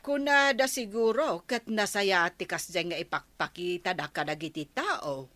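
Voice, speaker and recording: A woman's voice: on the low side, a little slow, pushed and bright, no room sound. A woman playing a concerned, tactfully reproachful village wife in an Ilocano radio comedy drama. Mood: curious.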